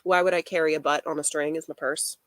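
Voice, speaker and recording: A woman talking in a casual live chat about fashion, deadpan and wry. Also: deep voice, quick pace, no echo. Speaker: fashion commentary creator.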